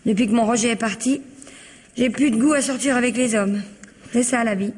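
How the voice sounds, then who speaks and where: Husky young housemaid. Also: female voice, a little high, fairly even intonation, fairly quick, a little mellow. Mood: frank, gossipy. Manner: colloquial, chatty, naive frankness. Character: cheeky young housemaid, chatty, defensive, working-class, aged twenty-two. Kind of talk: old French radio mystery acting.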